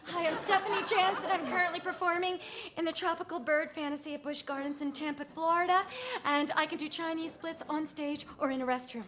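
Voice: extremely high pitch, fairly even intonation, brisk, background noise. An actress playing an eager, flirty dancer at an audition. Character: eager woman dancer, suggestive.

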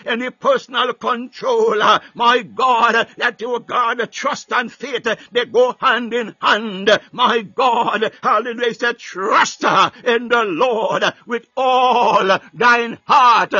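A man's voice: very high-pitched, bright, forceful tone, breathy edge, dry recording, muffled recording. A man preaching and praying in a fervent Pentecostal style, emphatic and exclamatory, repeating phrases and breaking in with praise. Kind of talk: fervent Pentecostal sermon and prayer.

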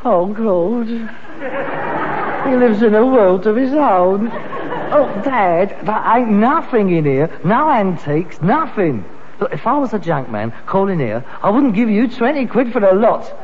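An actor playing an exasperated, nagging grown son in a sitcom, scolding sarcastically in a working-class London accent. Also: male voice, sits high, big pitch swings, measured pace, a faint crowd. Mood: scornful, exasperated. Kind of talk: British sitcom acting before an audience. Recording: dull, reverberant, noisy archive recording.

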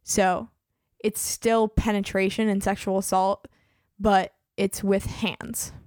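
A woman's voice: mid-range voice, flat delivery, slow pace, darkish tone, no echo, clean studio sound. A woman in her twenties hosting a podcast in casual chat, chatty and full of "like", her voice a bit worn as she is losing it. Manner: chatty, peppers speech with "like". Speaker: podcast host.

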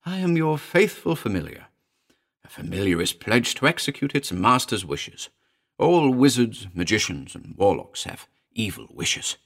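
A man voicing a small, malevolent demon familiar with a shrill, sly, insistent delivery in an audio horror story. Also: big pitch swings, unhurried, creaky, a bit bright, exaggerated voice. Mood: cold, insistent.

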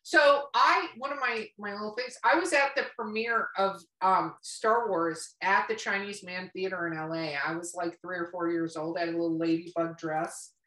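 A woman's voice: on the low side, normal speed, few pauses, fairly bright tone, echoey room, far from the mic, rough recording. A woman chatting casually on a podcast in a blunt, teasing, profanity-heavy manner. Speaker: podcast co-host.

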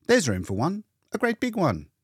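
A man voicing a quiet, distraught and desperate father in an audiobook, speaking in short, halting phrases. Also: high-pitched, huge pitch swings, slightly rough, no echo, studio quality, voice pushed up, heavily acted. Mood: grimly resolved. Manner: hushed, terse, halting. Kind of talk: audiobook character dialogue.

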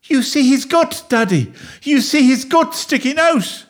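A man's voice: extremely high pitch, animated, unhurried, voice pushed up. A man voicing a distraught, guilt-stricken young boy in a melodramatic, wailing way for a comic story. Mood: hysterical, tearful.

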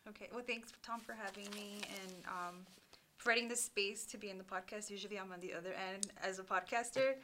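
A woman speaks as an interview guest. Her tone is bright and forceful, her pitch sits mid-range, and she talks candidly, often restarting her sentences. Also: steady tone, brisk, a little hiss or hum. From Los Angeles, California, USA. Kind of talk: casual interview storytelling.

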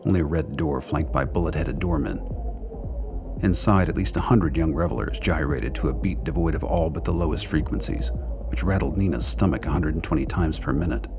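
A man narrating a scripted radio drama in a deliberate, noir storytelling manner. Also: a bass voice, over music.

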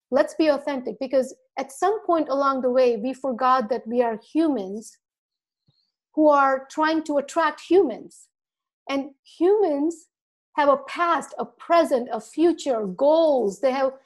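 Earnest woman podcast guest, high voice. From India, raised in the U.S. Virgin Islands. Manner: earnest, speaks from the heart, acts out quoted dialogue. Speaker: podcast guest, dental marketing coach. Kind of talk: casual podcast conversation.

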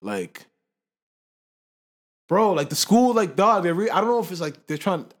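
A man's voice: high voice, sing-song, moderate pace. A man in casual podcast chat, slangy and rambling, who laughs often. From Canada.

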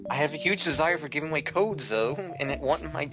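A male podcast co-host with a slightly higher voice. He whines and begs in playful mock complaint during loose banter. Music plays clearly behind him. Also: fast. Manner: whiny mock-pleading complaints. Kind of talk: casual joking chat.

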